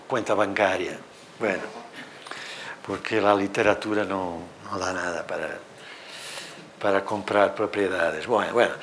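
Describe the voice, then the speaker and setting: A middle-aged man with a Portuguese accent, speaking Spanish as a second language, gives an animated university seminar lecture in a hall on a lapel mic, with many fillers. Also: on the low side, slight background noise. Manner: animated, gestures at projected slides, thinks aloud with many fillers. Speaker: literature professor.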